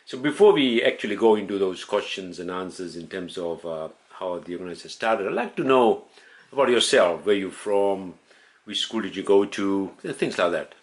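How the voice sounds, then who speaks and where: A man reading a formal tribute introduction in a measured way, then opening an interview. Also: low-pitched, expressive.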